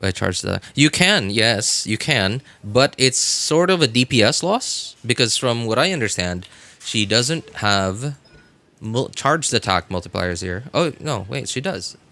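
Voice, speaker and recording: A man's voice: mid-range voice, lively intonation, a little slow, bright, forceful tone. A man game livestreamer chatting casually, thinking aloud in a hesitant way and often repeating himself. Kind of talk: casual livestream chat while theorycrafting a game.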